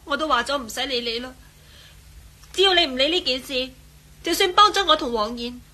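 A woman's voice: high-pitched, animated, a little fast, sharp tone, exaggerated voice. A woman playing a frightened, secretive young lady in a radio drama, pleading and defensive in Hong Kong Cantonese. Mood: evasive, pleading.

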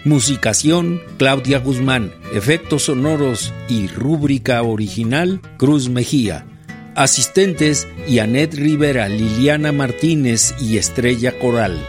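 A Mexican man announces programme credits in a scripted radio style. His voice is mid-pitched. Music plays clearly behind him. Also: takes it slowly. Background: music with guitar and violin.

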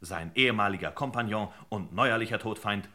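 Wry, sharp-voiced detective boss. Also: male voice, on the low side. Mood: droll storytelling. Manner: droll, deliberate, mock storybook narration. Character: wry, self-assured detective boss explaining a gangster case. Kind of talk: 1960s German radio play acting.

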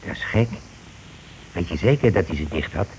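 An actor playing a scheming, money-grabbing husband and landlord in a radio play, talking in wheedling, self-justifying colloquial patter. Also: male voice, low-pitched, animated, moderate pace, darkish tone. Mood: wary, alert. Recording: noisy old radio drama recording.

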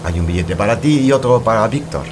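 A lowish, sardonic male voice acted by a man plays a suave French official in radio theatre. The delivery is wry, over clear background engine noise. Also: animated, brisk, lightly acted. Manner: wry, sprinkles French exclamations.

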